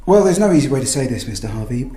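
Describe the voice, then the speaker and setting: An actor playing a polite, quietly probing police detective in a radio drama, hesitant and understated. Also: male voice, medium pitch, big pitch swings, very fast, echoey room, lightly acted. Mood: grave, apologetic. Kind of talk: naturalistic radio drama acting.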